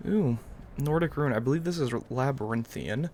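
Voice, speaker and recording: A man's voice: medium pitch, expressive, normal speed, a little mellow. A young man giving casual let's-play commentary as a gaming video host, musing aloud in a rambling way over game music. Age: young adult.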